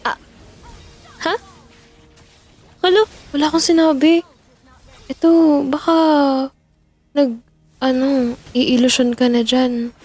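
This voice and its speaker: A woman's voice: sits high, little pitch movement, slow, long pauses, darkish tone, faint music behind. A woman performing an audio roleplay as a playful young best friend who teases, giggles and backtracks when flustered. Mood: teasing. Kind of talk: audio roleplay, chatting while gaming.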